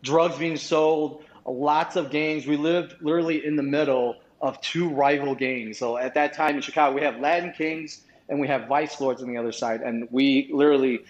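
A man's voice: a little high, fairly even intonation, normal speed, few pauses. A man from Vietnam in his thirties, a podcast guest telling his life story casually, rambling with many restarts and fillers. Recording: roomy, dull lo-fi sound.

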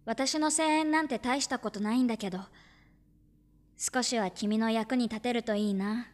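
Audio drama schoolgirl, played by a woman: high-pitched, gentle, shy, earnest, a little hesitant. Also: normal speed, lightly acted. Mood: tender, wistful. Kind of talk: Japanese audio drama acting with first-person narration.

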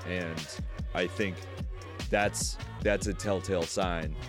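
Low-voiced man talking openly, stuttering a bit. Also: fairly even intonation, slow pace, music in the background. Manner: thoughtful, candid, speaks with some stuttering and restarts. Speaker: podcast guest. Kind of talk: casual podcast conversation.

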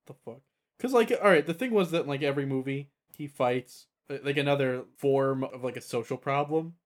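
A man's voice: mid-range voice, fairly quick. A man co-hosting a podcast in casual, joking, slangy chat. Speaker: podcast co-host.

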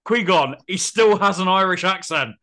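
Bright-voiced man sharing film trivia. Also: high voice, normal speed. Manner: informative, reels off film trivia. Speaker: podcast co-host. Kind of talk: casual film discussion.

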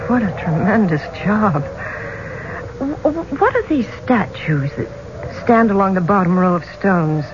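An actress playing an inquisitive, polite and poised woman visitor, expressive and curious, in a 1970s radio drama. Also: mid-range voice, unhurried. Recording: muffled, hissy 1970s radio recording.

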